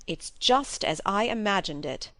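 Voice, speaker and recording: A woman's voice: medium pitch, animated, unhurried, a bit bright, no echo. A woman voicing an eager young male heir to an ancient manor in an audiobook, earnest and boyish. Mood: eager, awed. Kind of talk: audiobook character acting.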